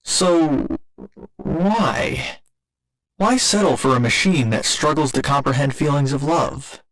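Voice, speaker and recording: A man playing a gentle, earnest robot boyfriend in an ASMR roleplay, speaking in formal, measured, precise sentences. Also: on the high side, poor audio. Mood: puzzled, vulnerable. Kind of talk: ASMR roleplay, scripted intimate monologue.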